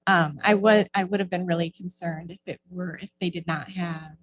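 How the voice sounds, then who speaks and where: A middle-aged woman giving informal remarks at a town meeting, halting and hedging as she searches for words. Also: lowish voice, steady tone, dull audio. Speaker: former board member giving public comments. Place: indoor local government meeting.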